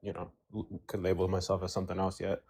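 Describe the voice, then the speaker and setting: Rambling young man, low voice. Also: completely flat delivery, fast, creaky, darkish tone, slightly rough. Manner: rambling, filler-heavy. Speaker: caller. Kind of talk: casual chat.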